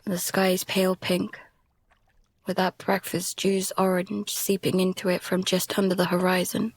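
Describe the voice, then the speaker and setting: A woman acting a frightened, exhausted young survivor adrift at sea in an audio drama, halting and husky. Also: lowish voice, completely flat delivery, low-quality recording. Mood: hushed, drained dread.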